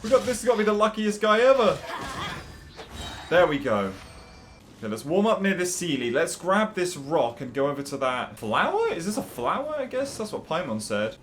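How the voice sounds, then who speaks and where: Animated, higher-voiced young man gaming. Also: moderate pace, soft background music. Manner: chatty, playful, reacting aloud. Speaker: gaming video creator. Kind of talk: casual gameplay commentary.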